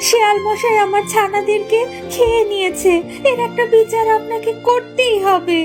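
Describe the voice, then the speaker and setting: Very high-pitched plaintive mother duck. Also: steady tone, moderate pace. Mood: pleading for justice. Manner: pleading, plaintive. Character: distressed mother duck, pleading and wronged. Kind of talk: children's fairy-tale cartoon acting. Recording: prominent music behind the voice. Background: music.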